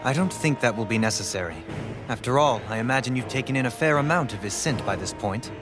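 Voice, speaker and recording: A man plays a self-assured young nobleman in a fantasy game. He speaks in polished, formal phrases with calm courtesy, over prominent music. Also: medium pitch, fast talker, lightly acted. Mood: courteous, composed. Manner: formal, eloquent, composed. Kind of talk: dramatic video game voice acting. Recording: orchestral game music behind voice.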